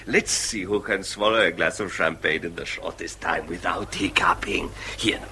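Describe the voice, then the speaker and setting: An actor playing a hearty, tipsy, middle-aged British knight with a bluff, condescending manner in a radio drama. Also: male voice, sits low, fairly even intonation, normal speed, sharp tone, exaggerated voice. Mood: jovial, teasing. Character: jovial, tipsy, teasing wealthy knight at a party. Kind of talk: stage-style radio drama acting.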